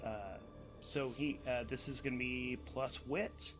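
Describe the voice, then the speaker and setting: A man's voice: mid-range voice, measured pace, fairly bright tone. A man hosting and narrating a solo tabletop role-playing game, thinking aloud as he goes. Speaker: host, narrator and game master. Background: background music.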